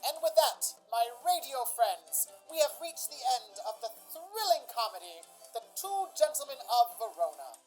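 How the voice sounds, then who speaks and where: Woman as high, animated old-time radio announcer. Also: normal speed, few pauses, soft background music, poor audio. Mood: grand, presentational. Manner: theatrical, presentational. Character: showy radio announcer and storyteller narrator. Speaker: announcer and narrator.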